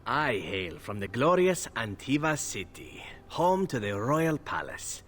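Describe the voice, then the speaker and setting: An actor playing a charming, flirtatious male elf assassin in a video game, with a smooth, teasing delivery. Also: medium pitch, sing-song, measured pace, a bit bright. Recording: game audio with faint noise.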